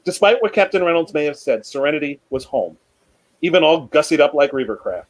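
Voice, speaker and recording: A man speaking as a panelist, chatting casually and reading book excerpts aloud in a measured, storytelling way. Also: on the high side, animated. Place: remote online panel from home.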